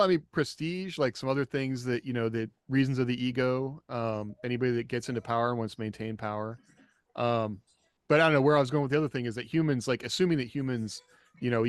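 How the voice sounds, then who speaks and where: A man's voice: medium pitch, clean tone, dry recording, clean studio sound. A man co-hosting a podcast in casual panel conversation. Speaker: podcast co-host.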